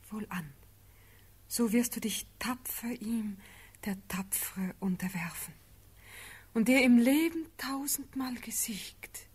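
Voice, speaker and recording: An actress playing a tender, loyal and resolute young noblewoman in a solemn classical verse radio drama, with measured delivery. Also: on the high side, husky, heavily acted. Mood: solemn, steadfast.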